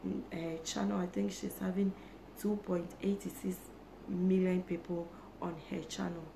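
A hoarse woman, chatting slowly to camera for her channel and often repeating herself. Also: lowish voice, level tone, noisy background. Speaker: YouTuber. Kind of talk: casual talk to camera. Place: small indoor room.

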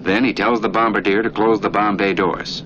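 A male actor as a stern flight instructor with a fairly low voice, needling and directing a trainee in a 1940s military training film. The old soundtrack is muffled, with clear noise behind the voice. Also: fairly even intonation, moderate pace, close to an everyday voice. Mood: instructive. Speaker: off-screen narrator instructing a trainee.

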